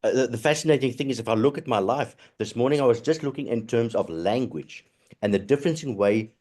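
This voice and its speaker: A man in a casual group discussion, talking haltingly with many restarts and murmurs of agreement. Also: mid-range voice, lively intonation, darkish tone. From South Africa.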